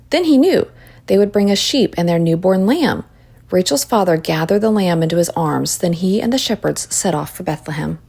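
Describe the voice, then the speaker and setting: Expressive woman reading a storybook. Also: on the low side, creaky, slightly rough. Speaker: storyteller reading a children's book aloud. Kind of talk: expressive scripted storybook narration.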